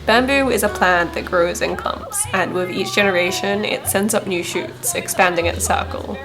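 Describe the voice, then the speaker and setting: A woman's voice: lowish voice, moderate pace, low-quality recording. A woman narrating a vlog in a scripted voice-over, reflective and sincere. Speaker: vlogger, narrator.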